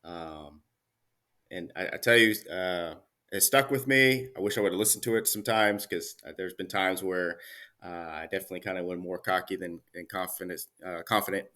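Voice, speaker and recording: A man in his thirties, a guest chatting casually in an interview, relaxed with many fillers and restarts. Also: deep voice, moderate pace. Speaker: Army career manager guest.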